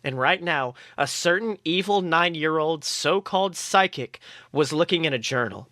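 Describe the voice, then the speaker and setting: A man with a fairly high, bright voice tells a comic story as a show host. His delivery is playful and expressive, swinging widely in pitch, and the sound is dry. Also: normal speed, dry recording. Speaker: podcast host. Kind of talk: storytelling, reading comic fan fiction aloud.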